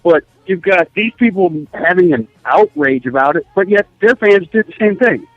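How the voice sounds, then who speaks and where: A dull, lo-fi call-in line carries an American man's somewhat high voice. He talks casually as a caller to a sports talk show, with faint music behind him. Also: animated, normal speed, no echo. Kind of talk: casual phone-in chat.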